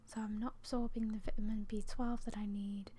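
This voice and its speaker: A young British woman speaking in a soft, breathy whisper, chatting casually and a little hesitantly to her viewers in ASMR style, close to the microphone. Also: mid-range voice, little pitch movement, brisk. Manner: whispery, hushed, hesitant and apologetic. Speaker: ASMR video host.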